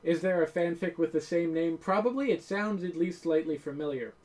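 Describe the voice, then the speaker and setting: A woman's voice: lowish voice, a little fast, darkish tone. A woman co-hosting a gaming video in casual chat, with wry, teasing interjections.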